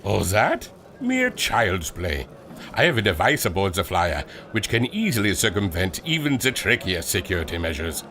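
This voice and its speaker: A man's voice: sits low, huge pitch swings, normal speed, bright, forceful tone, full character voice. A man playing a courtly, self-assured German inventor with a German accent and a formal, smug manner. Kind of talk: old-time adventure serial acting.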